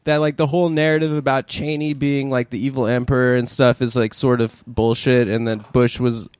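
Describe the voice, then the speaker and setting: A young adult man in a casual chat, hesitant and quick to laugh. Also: mid-range voice, flat delivery, a little mellow, smooth, no echo. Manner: hesitant, restarts, laughs easily.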